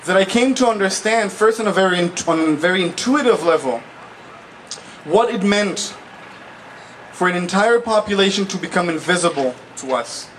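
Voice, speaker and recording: High-voiced young man giving a public speech. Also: animated, normal speed, a bit bright. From Israel. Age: 20s. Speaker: guest speaker, political activist. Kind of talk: public speech, personal testimony. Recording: noisy live event recording.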